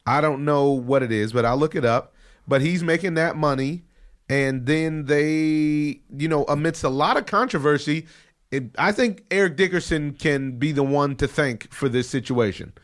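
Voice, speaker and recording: Guy with a head cold hosting a sports podcast, kinda high voice, riffs hard on every point. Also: normal speed. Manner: animated, emphatic riffing. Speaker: podcast host. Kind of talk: casual sports talk. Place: studio in Los Angeles.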